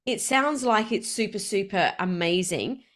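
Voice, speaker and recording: A woman's voice: mid-range voice, lively intonation, measured pace, fairly bright tone. A woman hosting an interview, listening closely, summing up what she hears and asking follow-up questions. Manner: attentive, sums up and asks questions. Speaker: interview host. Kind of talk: casual interview talk.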